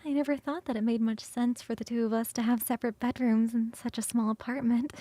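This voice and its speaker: A woman voicing a playful, hungry and affectionate succubus roommate in an ASMR roleplay, coaxing and teasing with sighs and soft, breathy turns. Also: on the high side, steady tone, dry recording, close to an everyday voice. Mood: soft, intimate. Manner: coaxing, sighing, drawn-out savouring, shifting into soft whispers.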